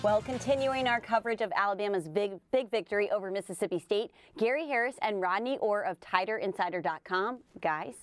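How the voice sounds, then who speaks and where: A woman's voice: medium pitch, fairly quick, few pauses, faint music behind. An American woman co-anchoring a TV sports show with scripted delivery. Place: TV studio.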